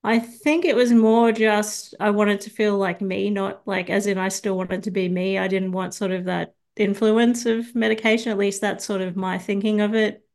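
A young woman with an Australian accent talks as a podcast guest. She speaks hesitantly, filling her pauses with ums and letting her phrases trail off softly. Her voice sits in a middle register. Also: medium pitch, level tone. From Sydney, Australia. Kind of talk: casual interview chat.

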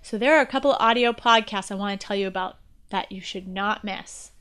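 A woman's voice: medium pitch, a little fast, fairly bright tone, clean tone. A woman guest-hosting an educational podcast, reading prepared material in a presentational way. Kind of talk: scripted educational podcast narration.

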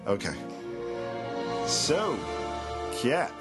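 A man's voice: mid-range voice, sing-song, drawn-out words, over loud music. A man co-hosting a podcast who chats casually about films. He rambles with enthusiasm and often trails off mid-thought. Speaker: podcast co-host. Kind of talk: casual film discussion.

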